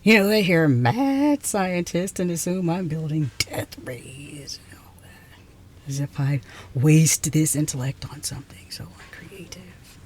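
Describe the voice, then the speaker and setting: A woman playing a haughty, flustered reclusive scientist in a close ASMR roleplay, halting and repeating herself as she gives orders. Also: low-pitched, animated, a little mellow. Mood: intrigued, proud. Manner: halting, repetitive, sighing, imperious.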